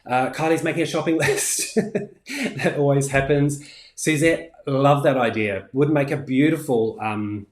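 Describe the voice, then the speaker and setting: A man's voice: mid-range voice, measured pace. A man in his forties with a New Zealand accent, hosting a casual craft livestream and chatting through viewer comments with plenty of ums. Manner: chatty, many ums, laughs easily. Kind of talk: casual live chat reading comments. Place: home craft room, indoors. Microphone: fixed camera at desk distance. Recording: echoey room.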